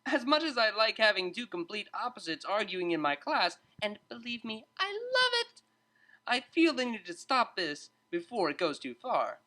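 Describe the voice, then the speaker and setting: A narrator voicing a cheerful, meddling male pony psychology tutor with an animated lecturing manner. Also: medium pitch, moderate pace, voice pushed up. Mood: amused, placating.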